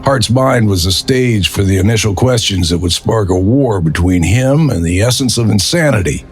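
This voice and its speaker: A man's voice: on the low side, lively intonation, moderate pace, soft background music. A man narrating a crime drama from a script in a dramatic storytelling style.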